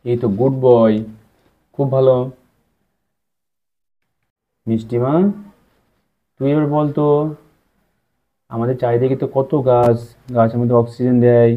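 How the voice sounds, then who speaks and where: A man's voice: mid-range voice, level tone, moderate pace, pauses often, close to an everyday voice. A village father, played by a man, instructs his children in a firm, parental manner for a puppet story. His voice is of middling depth, on a slightly dull, lo-fi recording. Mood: praising. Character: village father, firm but praising teacher.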